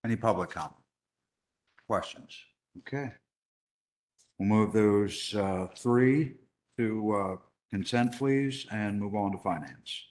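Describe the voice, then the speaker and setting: A man chairing a council worksession, moving items along in a brisk, procedural way. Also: on the low side, darkish tone. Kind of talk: running a council meeting.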